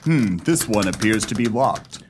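An actor playing a stuffy old English gentleman in a radio play, speaking in a formal, fussy, slightly faltering way. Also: fairly low pitch, lively intonation, measured pace, background noise. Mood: awkward, suspicious.

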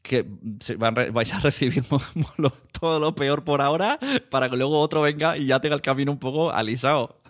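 A man's voice: medium pitch, brisk. A man hosting a podcast interview, talking informally and thinking aloud in fragments. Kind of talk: casual conversational interview.

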